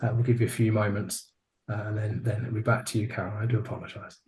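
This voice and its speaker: A man hosting a webinar over a video call, hurried and apologetic as he cuts in. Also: fairly low pitch, little pitch movement, noisy background. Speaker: webinar host. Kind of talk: webinar housekeeping.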